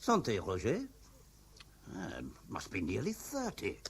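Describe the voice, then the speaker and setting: An actor playing a hearty, self-satisfied middle-aged French industrialist in a radio drama, with a husky voice. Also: male voice, on the low side, wildly swooping pitch, measured pace, a little hiss or hum, heavily acted. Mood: hearty, self-assured. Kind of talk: 1970s radio drama acting.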